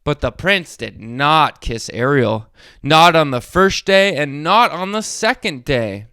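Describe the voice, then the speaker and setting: A man tells a story for young children in a high, clear voice. His delivery swings widely in pitch and stays expressive, as if talking straight to little kids. The sound is dry and close. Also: slow, dry recording. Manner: expressive, addresses young listeners directly. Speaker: storyteller, host of a read-along channel. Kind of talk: animated children's read-aloud with short asides.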